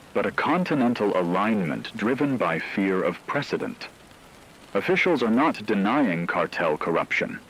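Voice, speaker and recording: A grave radio drama news anchor, performed by a man, with a deep, low voice. He delivers scripted emergency bulletins in measured, solemn phrases. A faint noisy wash like rain sits behind him. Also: fairly bright tone, full character voice.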